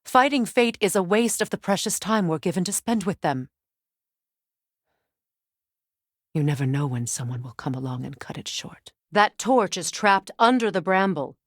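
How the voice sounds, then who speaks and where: A woman's voice: fairly low pitch, expressive, moderate pace, few pauses, no echo, clean studio sound. An actress playing a stern, proud warrior goddess, a battle-hardened mother with a commanding, curt manner, in a fantasy video game. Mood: grave, bitter, then brisk, guiding. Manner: commanding, curt, sharp-tongued. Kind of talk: dramatic fantasy game dialogue.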